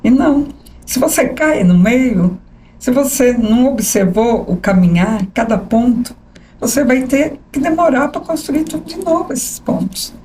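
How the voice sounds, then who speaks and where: A woman in her sixties with a São Paulo accent, a journalist telling her life story as a podcast guest, animated and often asking "né?". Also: a little high, a little slow, a little hiss or hum, rough recording. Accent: São Paulo capital Portuguese. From São Paulo state. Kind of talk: conversational interview storytelling.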